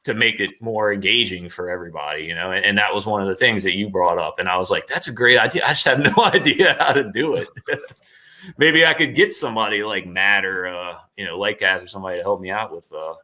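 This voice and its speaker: Low-pitched, bright-voiced man chatting enthusiastically. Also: brisk. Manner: enthusiastic, rambling, repeats phrases. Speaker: interview guest, online performer. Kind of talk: casual interview chat.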